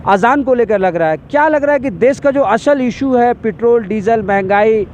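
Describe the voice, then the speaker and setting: A male reporter asking questions in a street interview, halting and restarting his words. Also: extremely high pitch, darkish tone, lo-fi sound, slight background noise.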